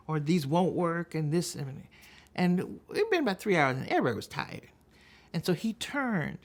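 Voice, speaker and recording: Deliberate, lower-pitched American woman speaker. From Texas, United States. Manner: deliberate, emphatic, with dramatic pauses. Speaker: public speaker. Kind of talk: spoken advocacy talk with storytelling.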